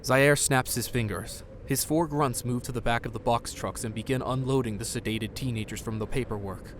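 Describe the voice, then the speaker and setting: A man's voice: medium pitch, moderate pace, noisy background. Male narrator over faint ambience. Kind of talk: scripted narration.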